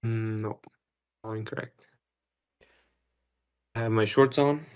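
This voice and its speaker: A man's voice: on the low side, fairly even intonation, slow pace, a little mellow, smooth. A man on a voice call, defensive and irritated, swearing often. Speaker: caller. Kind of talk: heated argument on a voice call.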